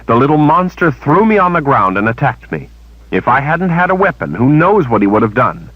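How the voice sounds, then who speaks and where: A man's voice: medium pitch, big pitch swings, moderate pace, dry recording, muffled recording, voice pushed up. A man voicing a young woman who speaks indignantly and defiantly. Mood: angry. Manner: indignant, rising.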